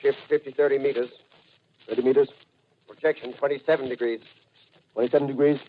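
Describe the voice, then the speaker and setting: An actor playing an artillery officer giving clipped, commanding battle orders in 1930s radio drama style. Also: male voice, mid-range voice, steady tone, fast talker, slight background noise, close to an everyday voice. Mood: urgent command. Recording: muffled old 1930s radio recording.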